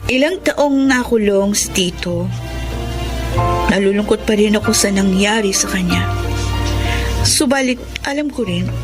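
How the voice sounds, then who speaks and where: An actress playing an earnest, heartbroken young niece with a Filipino accent in a melodramatic radio drama. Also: female voice, medium pitch, close to an everyday voice. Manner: earnest, emotional.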